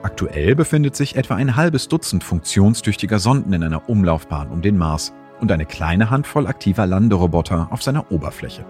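Male science presenter, low register, lively intonation, scripted explainer narration. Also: a little fast, hardly any pauses, soft background music.

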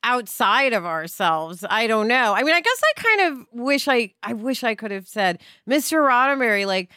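Woman, high-pitched, bright forceful tone, expressive conversational delivery, podcast panelist. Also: moderate pace, no room sound, studio quality. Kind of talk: animated casual discussion.

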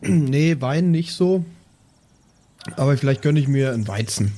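Easygoing, blunt bandmate, played by a man in an improvised audio play, soft dark tone, slangy delivery. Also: medium pitch, lively intonation, a little slow, a little hiss or hum, lightly acted. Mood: relaxed. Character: Sexbomb, laid-back, blunt beer-drinking bandmate.

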